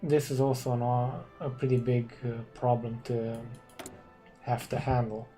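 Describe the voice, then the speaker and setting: A Romanian man, a non-native English speaker, casually commenting on a strategy game and thinking aloud with hums and pauses. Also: medium pitch, level tone, mellow, faint music behind. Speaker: gaming commentator.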